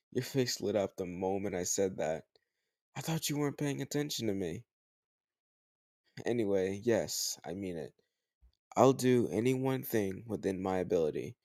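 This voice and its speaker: A man playing a teasing, slightly older home tutor who gently chides the listener in a conversational, intimate roleplay audio drama. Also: on the low side, animated, unhurried, long pauses, no room sound, studio quality. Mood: teasing, coaxing.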